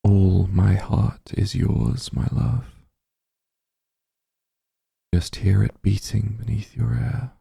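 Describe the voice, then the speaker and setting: A man playing a doting, possessive demon lord in an intimate ASMR roleplay, speaking in a tender, coaxing hush with a dark, husky voice, close to the microphone. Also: on the low side, steady tone, slow, long pauses, no echo, heavily acted. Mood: adoring, possessive. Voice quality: very dark, husky, gravelly, breathy. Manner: tender, coaxing, teasing, hushed. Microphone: close microphone, dry.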